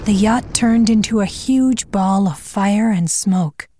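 A woman's voice: mid-range voice, slow pace, warm tone, no echo. A woman narrating a scripted crime audio drama.

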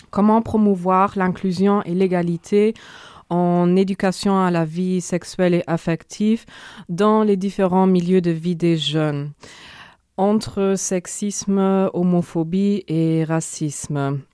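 A woman hosts a studio podcast in a low, unhurried voice. Her tone is smooth and clear, with a dry, close sound. She hesitates often and fills her pauses. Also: fairly even intonation, mellow, no echo. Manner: hesitant, many filler pauses. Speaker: host, social worker and youth educator. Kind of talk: podcast hosting.

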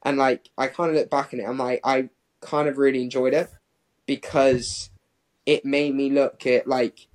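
A man in his twenties speaks as a guest in a casual interview. His voice sits at a medium pitch. He rambles conversationally, dropping "like" into his sentences again and again. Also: level tone, normal speed. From Hong Kong and England. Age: 20s. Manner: rambling, peppered with "like". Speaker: professional distance runner, interview guest.

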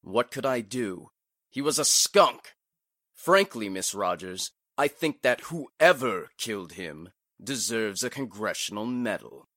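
A man playing a bitter, well-bred gentleman, haughty yet hesitant, in 1940s radio drama style. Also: fairly low pitch, expressive, takes it slowly, no echo, studio quality, full character voice.

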